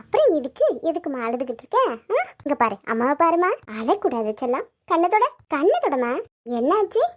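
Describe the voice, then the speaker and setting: A woman's voice: high-pitched, animated, a little fast. A woman playing a protective, doting middle-aged mother in a family melodrama, coaxing in colloquial speech. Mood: soothing. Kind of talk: melodramatic family audio drama acting. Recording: dull lo-fi audio.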